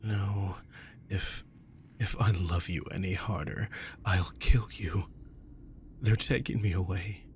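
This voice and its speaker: A man voicing a desperate male vampire prince who speaks in a hushed, half-whispered, pleading way. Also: low-pitched, steady tone, takes it slowly, noisy background. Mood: anguished. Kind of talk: audio drama roleplay.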